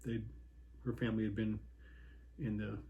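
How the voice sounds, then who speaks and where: Older man with a dark voice reminiscing. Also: lowish voice, little pitch movement. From Washington State, USA. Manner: rambling, many pauses and restarts. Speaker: interviewee, hiker. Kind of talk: casual reminiscing interview.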